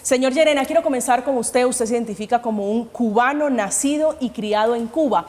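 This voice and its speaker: A woman's voice: on the high side, brisk, a little mellow. A woman formally moderating a televised debate as its host. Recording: long hall-like reverb.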